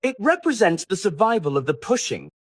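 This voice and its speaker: A man's voice: high-pitched, sing-song, fairly quick, no room sound, studio quality, pitched above the performer's own voice. A man voicing a witty, languid English aristocrat in an audiobook, teasing and aphoristic in a theatrical way. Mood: dry wit. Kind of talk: audiobook character dialogue.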